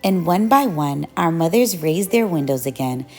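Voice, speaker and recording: A woman reading a picture book aloud as a storyteller, with expressive, measured narration. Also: low-pitched, faint music behind. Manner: measured storytelling, acts out children's quoted calls.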